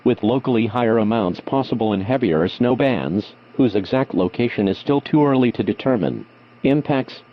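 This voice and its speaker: A man reading a scripted emergency weather bulletin in a formal, automated-sounding delivery, like a weather radio announcer. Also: medium pitch. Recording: muffled lo-fi weather radio, humming noise.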